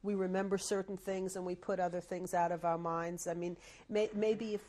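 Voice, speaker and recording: A woman's voice: on the low side, flat delivery, normal speed, dark tone, slight background noise. A woman interviewing an older survivor, who formally reads out names, dates and places. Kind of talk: oral history interview.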